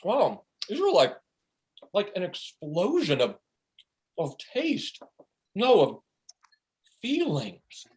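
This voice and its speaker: A man playing an excitable, paranoid and spaced-out friend in a comic play reading over a video call, with theatrical delivery. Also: sits high, takes it slowly, pauses often, heavily acted. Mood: awed. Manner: theatrical, wildly swooping delivery. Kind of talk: comic stage script table read. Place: home, online video call.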